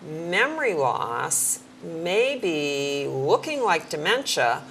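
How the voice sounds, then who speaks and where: A middle-aged woman with a fairly low voice talks through practical hearing tips in a friendly, down-to-earth way. She sometimes slows right down to show how to speak clearly. Faint noise sits under the recording. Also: very animated, a bit bright, rough recording. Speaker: hearing care professional, guest. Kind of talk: conversational advice on a talk show.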